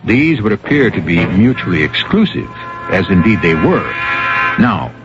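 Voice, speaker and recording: A man hosting a radio mystery drama with scripted narration, measured, wry and rhetorical. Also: low-pitched, sing-song. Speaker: host and narrator of a mystery anthology. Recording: dull radio recording with music.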